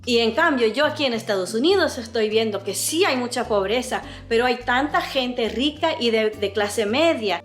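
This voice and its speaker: A woman in her fifties with an American accent who grew up in Latin America, sharing earnest, thoughtful reflections on her personal vlog. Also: fairly high pitch. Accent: American, with mixed Latin American Spanish. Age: 50s. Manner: earnest, thoughtful, speaks with feeling. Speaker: vlogger, host of a frugal living channel.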